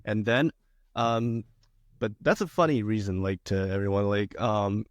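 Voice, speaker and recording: A man's voice: fairly low pitch, fairly even intonation, a little slow, no room sound, clean studio sound. A young man from China, a theater student, talking casually as an interview guest with many fillers and restarts. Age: young adult.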